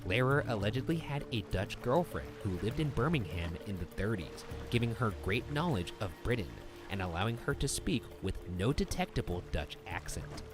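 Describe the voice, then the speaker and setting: A man reading a scripted mystery narration in a measured, storytelling delivery. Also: on the low side. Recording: narration over background music.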